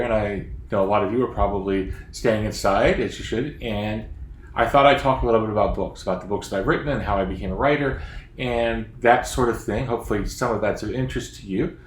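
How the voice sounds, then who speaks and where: A man in his fifties, a children's book author from Florida, chatting casually and joking at his own expense from his home office. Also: lowish voice. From Florida, USA. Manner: chatty, self-deprecating, joking. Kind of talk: casual chat to young readers. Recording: roomy office sound, faint background noise.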